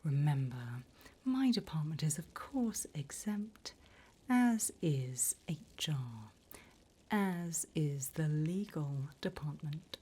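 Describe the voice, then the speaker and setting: A sly female demon, played by a woman, conducts an interview in an ASMR roleplay. The voice is almost all breath, a soft low whisper. Each phrase comes slowly and deliberately, with polite, teasing menace. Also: animated, husky, exaggerated voice. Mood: silky, probing.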